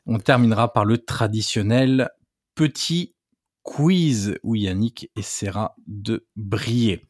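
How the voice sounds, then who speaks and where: A man's voice: fairly low pitch, slow, a little mellow, no room sound, clean studio sound. A man hosting a football podcast who lays out the episode plan in an orderly way. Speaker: podcast host.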